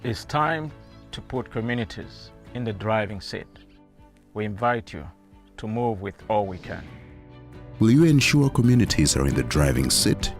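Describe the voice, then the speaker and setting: Male voice-over, low and deep, measured charity-appeal read with music behind it. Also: big pitch swings. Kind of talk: scripted fundraising narration.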